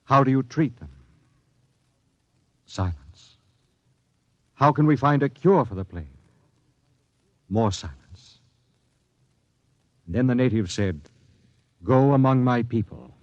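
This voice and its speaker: An actor playing a steady expedition captain who narrates in a measured, authoritative 1950s radio drama style. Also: male voice, mid-range voice, no room sound. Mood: grave narration. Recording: dull old radio broadcast.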